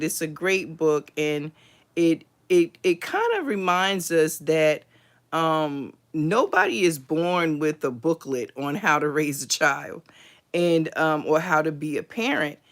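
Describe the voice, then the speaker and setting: Slow, smooth-voiced woman host. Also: low-pitched. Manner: unhurried, thoughtful, many fillers and restarts. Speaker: host of a book review livestream. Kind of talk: casual livestream book talk.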